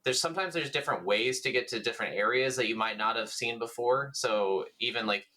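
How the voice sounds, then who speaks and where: Man, bright forward voice, mid pitch, podcast co-host, casual gaming chat, informal wording. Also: a little fast. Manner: informal, mildly profane.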